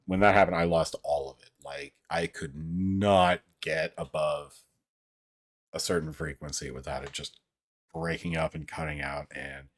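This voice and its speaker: Very deep-voiced man chatting on a livestream. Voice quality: deep, somewhat monotone. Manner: rambling, halting, heavy casual swearing. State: voice somewhat out of sorts. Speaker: livestream host. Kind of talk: casual livestream chat, reading viewer comments.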